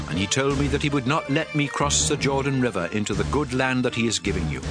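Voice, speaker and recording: A man's voice: medium pitch, natural voice. A man playing a stern, aged prophet exhorting his people in a solemn, emphatic dramatized scripture reading. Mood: regretful. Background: music.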